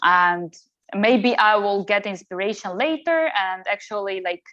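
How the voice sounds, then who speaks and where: A woman from Ukraine speaks English with a Spanish accent, at a middling pitch. She answers conversationally as an interview guest, pausing to search for words. The sound is dry and a little dull and lo-fi. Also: fairly bright tone, no room sound. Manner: halting, searching for words in non-native English. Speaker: podcast guest, social media strategist and coach.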